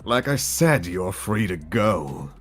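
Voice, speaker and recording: A man voicing a sinister cartoon jackal villain, deliberate and sardonic, narrating a musical. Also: low voice, very animated, soft background music, exaggerated voice. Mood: dry. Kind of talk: character narration of a stage musical.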